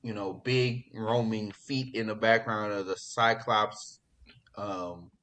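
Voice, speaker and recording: A man hosting a podcast in casual pop culture chat, talking in an excitable, slangy, profane way. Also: on the low side, takes it slowly. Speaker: podcast host.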